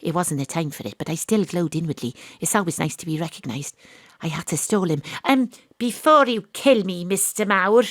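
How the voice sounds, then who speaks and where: A woman's voice: on the low side, moderate pace, no room sound, clean studio sound, natural voice. An actress playing a wry, self-regarding detective, telling her own story in an audio drama. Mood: tense, wry. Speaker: actor narrating an audio drama. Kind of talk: first-person dramatic narration.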